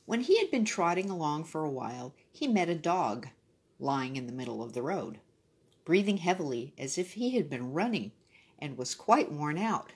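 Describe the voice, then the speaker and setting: A woman's voice: deep voice, sing-song, normal speed, slightly rough. A woman reading a children's story expressively, adding brief casual asides.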